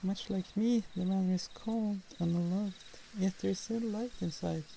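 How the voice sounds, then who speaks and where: Woman doing a shy lonely vampire lady, asmr roleplay, really dark soft creaky voice, formal n wistful, crickets and night noise behind. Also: mid-range voice, fairly even intonation, moderate pace, natural voice. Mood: wry, guarded. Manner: wistful, formal, shyly hesitant. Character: lonely, tsundere female vampire, youthful but 130 years old. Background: night woodland ambience with crickets, owls.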